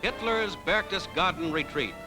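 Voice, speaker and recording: A man's voice: high voice, expressive, normal speed, low-quality recording. An American man narrating a 1940s newsreel in a declamatory, dramatic manner. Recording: 1940s newsreel with loud music.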